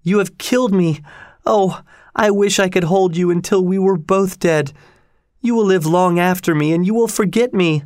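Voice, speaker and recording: A man's voice: on the high side, moderate pace, a little mellow, no echo, studio quality, voice pushed up, close to an everyday voice. A man voicing a dying young woman, bitter and passionate, who speaks in a plaintive, dramatic way for a storybook. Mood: reproachful, passionate.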